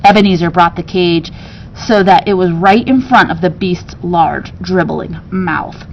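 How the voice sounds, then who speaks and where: A woman reading a children's storybook aloud with expressive narration. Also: measured pace, vocal fry. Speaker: story reader. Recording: dull sound with rumbling background noise.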